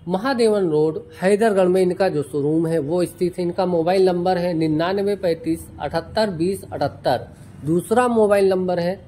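A woman giving a walkaround review of a tractor, explaining each feature in a steady, repetitive way and often addressing farmers as brothers. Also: medium pitch, a little hiss or hum. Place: at a tractor dealership.

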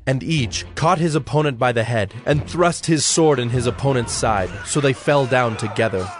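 A man narrating a dramatized audio Bible in a measured, solemn storytelling style. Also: medium pitch. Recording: faint music behind narration.

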